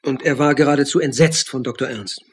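A man acting a sardonic police-college lecturer in a radio crime drama, telling the story and sparring with colleagues. His mid-pitched voice is husky, and his delivery is dry. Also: a little fast, natural voice. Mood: indignant, biting. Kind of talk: German radio crime drama, dialogue and narration.

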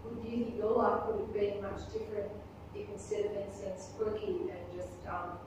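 A woman's voice: medium pitch, level tone, moderate pace, muffled recording, background noise. A woman speech pathologist asking a question from the audience, hesitant and searching for words. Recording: echoey room, distant mic.

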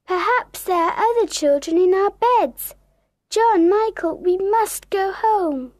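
A woman voicing a gentle, motherly young girl in a children's storybook, earnest and tender. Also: high voice, lively intonation, unhurried, no echo, clean studio sound, voice pushed up. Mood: worried. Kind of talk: storybook character acting.

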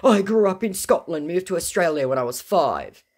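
A woman's voice: on the low side, animated, normal speed, darkish tone, voice pushed up. A woman reading aloud the typed messages of a fake male celebrity scammer who pleads to be believed. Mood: insistent.

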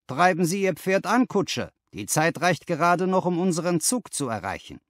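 A man voicing a brisk, exacting gentleman detective, precise and emphatic in an audiobook. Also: high voice, sing-song, no room sound, studio quality, voice pushed up.

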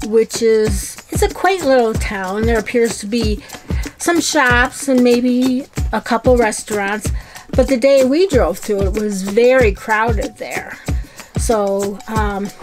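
A middle-aged woman narrating a road trip as a travel vlogger, in an unhurried, measured way. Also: a little high. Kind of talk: casual travel vlog narration.

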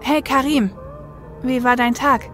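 A woman's voice: mid-range voice, moderate pace, natural voice. A woman playing a blunt, wry shadowrunner in a German audio drama. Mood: exasperated concern. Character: dry, sarcastic female shadowrunner, protective big sister. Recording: music bed behind the voice.

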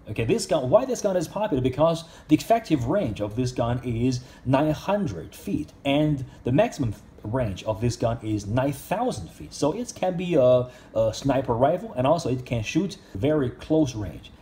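A man's voice: medium pitch, dark tone, slight background noise. A man reviewing an action figure in casual speech, with simple, non-native English phrasing. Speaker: toy reviewer.

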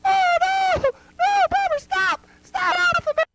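A man's voice: very high voice, fairly even intonation, slow, gravelly, pushed and bright, full character voice, low-quality recording. A man voicing a hapless, whiny male doll in a homemade puppet comedy skit, wailing and exclaiming like a perpetual victim. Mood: panicked, wailing.

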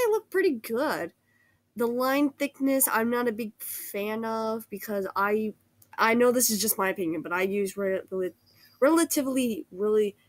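A woman's voice: medium pitch, no room sound. Sick girl w a cold rambling thru an art critique, lots of um and restarts, dry computer mic. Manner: rambling, hesitant, many restarts and fillers. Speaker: art critique video host. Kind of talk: casual art critique over screen recording.

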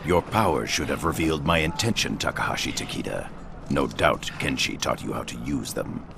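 Very deep, gravelly ninja grandmaster. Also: male voice, background noise, exaggerated voice. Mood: stern challenge. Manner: measured, formal, commanding. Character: stern, unyielding ninja clan grandmaster, mature and imposing. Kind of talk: dramatic video game acting. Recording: loud game music behind.

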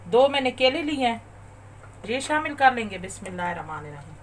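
A woman hosting a cooking channel, calmly talking through a recipe step by step in a voice-over. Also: on the high side, a little fast, a little hiss or hum. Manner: calm, methodical instructions. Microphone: voice-over over overhead shots of a bowl.

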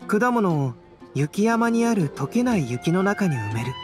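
Composed, light-voiced young alchemist. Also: male voice, high voice, sing-song. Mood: calm, explaining. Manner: composed, measured, wry. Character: calm, softly teasing young male alchemist scholar. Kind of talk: anime game voice acting. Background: game music.

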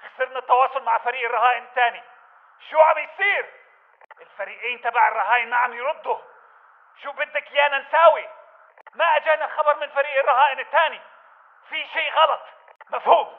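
A man's voice: very high voice, quick pace, long pauses. A man playing a jittery militant sentry with a Levantine Arabic accent, heard through a radio filter in a video game. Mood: worried. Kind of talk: video game combat chatter. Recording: tinny narrow radio transmission.

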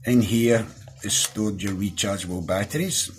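A man's voice: lowish voice, moderate pace, soft background music. A middle-aged Scottish man giving a casual, chatty, down-to-earth hands-on product review. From Scotland. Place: small indoor room at a desk.

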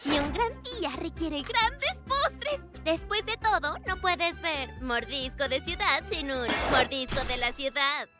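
Optimistic cartoon girl, very high pitch, Latin American Spanish accent, animated delivery, perky. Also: measured pace, full character voice. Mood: excited. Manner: perky, eager, expressive. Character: upbeat, optimistic young girl baker, female. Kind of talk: animated children's cartoon dub. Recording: music playing behind the voice.

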